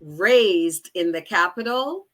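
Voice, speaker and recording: A woman in her forties with a Haitian accent answers podcast questions, speaking slowly and deliberately with a heartfelt tone. Her voice sounds bright and forceful. Faint music plays in the background. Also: lowish voice, lively intonation. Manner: heartfelt, deliberate, with light laughs. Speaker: podcast guest. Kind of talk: interview answers.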